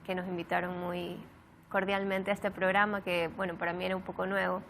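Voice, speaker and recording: A woman in her thirties from Ecuador, a studio guest softly introducing herself. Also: fairly low pitch, level tone, brisk, a little hiss or hum. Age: 30s.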